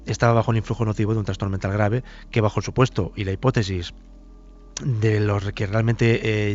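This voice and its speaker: A man's voice: lowish voice, slight background noise. A man giving analytical expert commentary, formal and hesitant, often repeating and circling back over his phrases. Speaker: expert commentator on criminal psychology. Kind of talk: halting analytical interview commentary. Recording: dull, band-limited audio.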